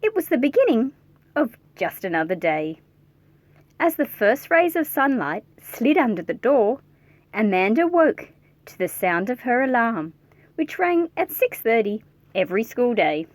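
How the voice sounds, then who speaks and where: Female children's read-aloud host: highish pitch, big melodic swings, playful and chatty with young listeners, dry recording. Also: a little slow, dry recording. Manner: animated, playful, addressing young listeners directly.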